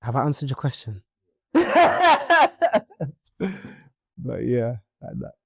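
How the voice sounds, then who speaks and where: Young Leeds man, gravelly, dry close sound, playful and chatty interview guest. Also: medium pitch, wildly swooping pitch, takes it slowly, no room sound. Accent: Leeds English. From Leeds, England. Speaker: musician guest. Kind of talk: casual interview chat.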